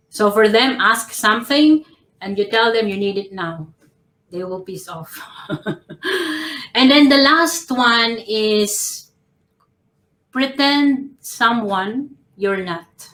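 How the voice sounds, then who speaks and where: A woman from the Philippines, speaking as a coach on a live panel. Her voice sits a little high and moves slowly and deliberately, with a dry ironic edge. The sound is roomy and echoey, as if caught on a call from a bare room. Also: a bit bright. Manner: slow, measured, ironic with small laughs. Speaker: professional coach, panel guest. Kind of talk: panel talk giving tips.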